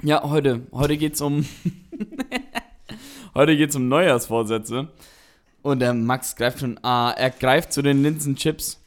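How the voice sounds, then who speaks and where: A man's voice: mid-range voice, slow pace. A young man co-hosting a casual podcast, joking around in a playful, silly way. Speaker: podcast co-host. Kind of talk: casual chat.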